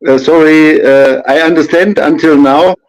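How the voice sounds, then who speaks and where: A man who is not a native English speaker, talking haltingly with many fillers in a live chat. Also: a little high, rough recording. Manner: hesitant, halting, full of fillers.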